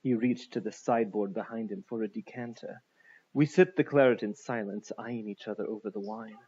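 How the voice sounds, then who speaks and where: A man's voice: lowish voice, steady tone, a little fast, darkish tone, breathy edge, lo-fi sound. A man reading literary fiction aloud as a scripted audiobook narration.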